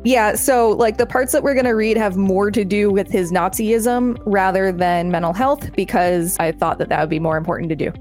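A woman co-hosting a podcast, reading an article aloud in a measured, deliberate way and breaking off for chatty asides. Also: medium pitch, darkish tone, faint music behind.

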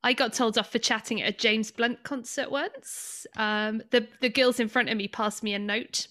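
A woman's voice: a little high, steady tone, normal speed, pushed and bright. A woman hosting a casual comic panel chat in a wry, teasing way. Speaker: podcast host.

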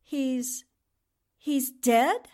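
A woman's voice: sits high, drawn-out words, a little mellow. A woman playing a poised, skeptical newspaper reporter in a theatrical, polished 1940s radio drama style. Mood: surprised.

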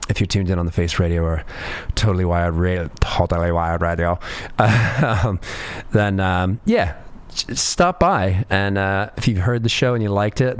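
A man hosting a radio music show, chatting in an easygoing, rambling way with lots of ums and uhs, a little sniffly from a cold. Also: lowish voice. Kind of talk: laid-back radio DJ chat.

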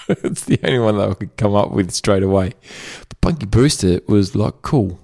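A man's voice: lowish voice, normal speed, a little mellow. A man from Germany whose first language is German, co-hosting a casual podcast in an easygoing, hesitant way. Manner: easygoing, hesitant, laughs often. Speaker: podcast co-host. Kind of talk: casual chat, guessing game.

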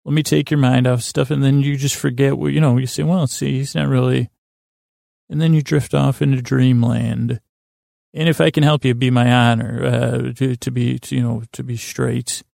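A man with a creaky voice hosting a bedtime podcast, meandering hesitantly in a soothing, reassuring way and often trailing off mid-sentence. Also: little pitch movement, moderate pace, dark tone, dry recording, studio quality. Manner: soothing, low-pressure reassurance. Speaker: host of a bedtime podcast for sleep. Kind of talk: rambling, meandering bedtime monologue.

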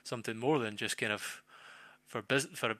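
A man talking casually as a football pundit on a podcast, halting and repeating phrases as he thinks aloud. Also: mid-range voice, pushed and bright, no echo.

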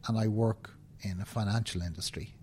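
Earnest Dublin man, low mellow voice. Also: fairly even intonation, fairly quick, a little hiss or hum. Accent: Dublin Irish. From Ireland. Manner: earnest, emphatic, tag questions like "right?". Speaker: interview guest, banking professional. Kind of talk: conversational interview. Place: indoors, seated conversation.

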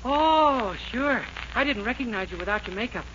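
An actor playing a naive, earnest young shoe clerk with a boyish, guileless manner in a 1940s radio comedy. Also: male voice, very high-pitched, expressive, moderate pace, fairly bright tone. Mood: bashful, unsure. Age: young adult. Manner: guileless, boyish, literal-minded. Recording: muffled, noisy 1940s radio recording.

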